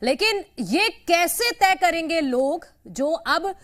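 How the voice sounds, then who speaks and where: A woman's voice: extremely high pitch, lively intonation, slow, fairly bright tone. A woman presenting the news as a television anchor.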